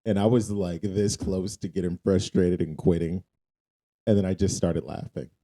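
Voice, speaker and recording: Very deep, dark-voiced man chatting on a podcast. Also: steady tone, normal speed. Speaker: podcast co-host. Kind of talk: casual podcast chat. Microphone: podcast microphone close to the mouth.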